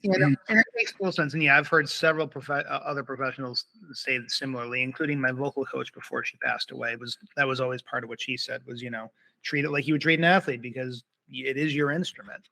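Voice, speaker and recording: Bright, dry-sounding male podcast host. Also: mid-range voice, fast talker, vocal fry, no room sound, low-quality recording. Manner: agreeable, affirming interviewer. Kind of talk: casual interview talk.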